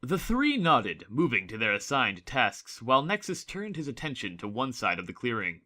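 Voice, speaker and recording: A man's voice: medium pitch, huge pitch swings, moderate pace, pushed and bright. A man reading scripted story narration as the narrator of a dramatic audiobook.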